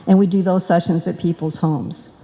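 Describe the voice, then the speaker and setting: A woman's voice: fairly low pitch, fairly even intonation, moderate pace, warm tone. A woman director giving an informal, chatty speech with joking asides to a live audience. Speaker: director of a radio players group. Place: indoor stage in a hall. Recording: reverberant hall, live stage event.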